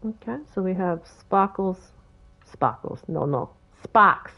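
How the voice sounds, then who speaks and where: A woman with a Boston accent talks through a game build in a loose, rambling way, chatting to the objects on screen. Her voice sits fairly low. The recording sounds a little dull and lo-fi. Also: animated, takes it slowly. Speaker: game video creator. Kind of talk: casual gameplay tutorial commentary.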